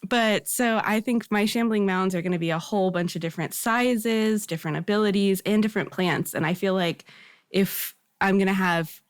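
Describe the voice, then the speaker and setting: A woman's voice: medium pitch, moderate pace, clean tone. A woman talking casually and with effusive enthusiasm as a guest on a show. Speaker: podcast guest. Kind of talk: casual excited chat.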